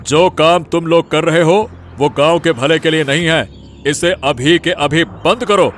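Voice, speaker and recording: A man's voice: a little high, a little slow, a bit bright, soft background music. Man voicing a menacing, scheming village strongman. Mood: angry, menacing. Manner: commanding, threatening.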